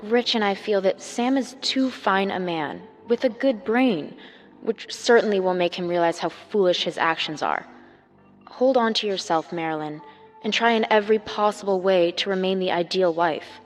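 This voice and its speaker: A woman's voice: mid-range voice, normal speed, soft background music, lightly acted. A woman playing an earnest, pleading sister-in-law reading a letter in a radio drama.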